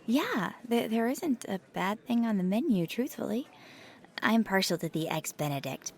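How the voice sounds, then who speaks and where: A woman playing a nervous, earnest paranormal investigator in an ASMR audio roleplay, speaking softly and haltingly with thoughtful, breathy hesitations. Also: medium pitch, close to an everyday voice. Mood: friendly, eager.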